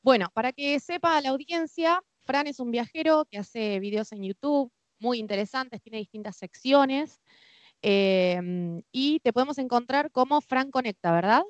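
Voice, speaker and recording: A woman's voice: high voice, a little slow, no echo, highs cut off. A woman with a Rioplatense Spanish accent hosting a conversational interview podcast in an enthusiastic manner. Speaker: podcast host.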